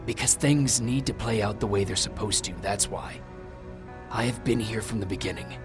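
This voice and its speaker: Actor as a low-voiced, cryptic male stranger. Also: over music, natural voice. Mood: grave, cryptic. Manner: measured, cryptic, insistent. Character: mysterious male stranger, secretive and commanding. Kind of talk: video game cutscene acting.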